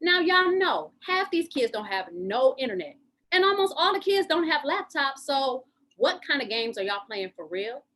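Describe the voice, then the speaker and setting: High, animated actress as a sarcastic schoolteacher, exasperated and emphatic, venting in a stage monologue. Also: female voice, moderate pace. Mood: exasperated, sardonic. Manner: sardonic, emphatic, complaining. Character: fed-up sarcastic female schoolteacher, worn out by online classes. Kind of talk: stage monologue in a lockdown drama.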